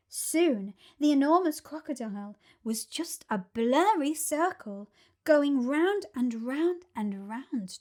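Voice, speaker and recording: A woman narrates a story for children. Her voice is high, and she takes her time, telling it with expressive drama.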